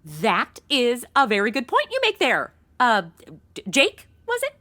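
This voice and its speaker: A woman's voice: fairly high pitch, huge pitch swings, unhurried, sharp tone, exaggerated voice. A woman playing a flippant, ditzy modern young woman in a comedy audio drama, sarcastic and full of exclamations and slang.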